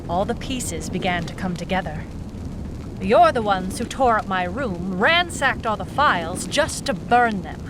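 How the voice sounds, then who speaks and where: A woman's voice: medium pitch, noisy background, lightly acted. A woman playing a wry, hard-boiled private eye, clipped and dry, in a noir detective audio drama. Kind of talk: noir detective narration and radio-drama acting.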